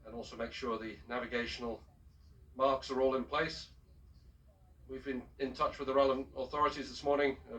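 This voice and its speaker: A man speaking as a ship's captain, giving a formal, measured and courteous announcement over a public address system. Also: medium pitch, fairly bright tone. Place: aboard a cruise ship, heard over the public address system. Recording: distant shipboard PA speaker, picked up from afar.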